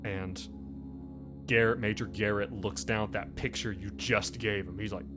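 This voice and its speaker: A man's voice: on the low side, steady tone, moderate pace. A man hosting a tabletop horror role-playing show as game master, narrating scenes and chatting casually. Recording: music playing behind the voice. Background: music.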